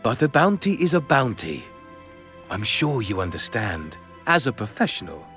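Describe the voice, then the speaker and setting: An actor voicing a sly bounty seeker in a video game, smug and insinuating. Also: male voice, medium pitch, very animated, normal speed, a bit bright. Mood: smug menace. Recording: faint music behind the voice.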